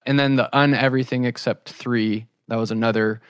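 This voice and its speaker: Middle-aged male host, mid-pitched, conversational interviewing, very dry sound. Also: steady tone, brisk, clear, resonant tone, no echo. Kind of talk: casual chat.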